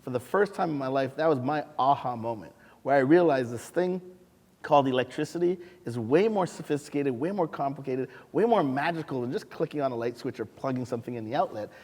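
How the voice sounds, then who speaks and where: An animated man from Canada spins a funny story for a panel audience, his mid-pitched voice carrying plenty of humor. The sound rings with a long reverb, as if recorded in a large hall. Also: a little fast. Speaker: science museum chief executive.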